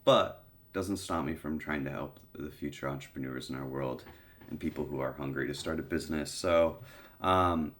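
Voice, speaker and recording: A man's voice: rumbling low, moderate pace. A young man with a stuffy, congested voice casually explaining his method in a tutorial, with lots of "um"s. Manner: relaxed, filler-heavy explaining with many "um"s. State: congested from a cold. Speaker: online seller teaching product research. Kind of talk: casual screen-recorded tutorial.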